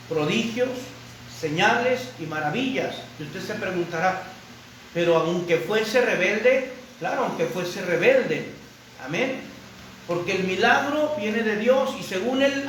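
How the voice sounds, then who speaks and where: A high-voiced man preaching emphatically at the front of a small church, heard from the back pews through heavy echo, repeating phrases and dropping in amens. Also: fairly bright tone. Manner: emphatic, repeats words, punctuates with amens and rhetorical questions. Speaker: pastor. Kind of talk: sermon, expository preaching with Bible readings. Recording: very echoey room, distant mic, background noise.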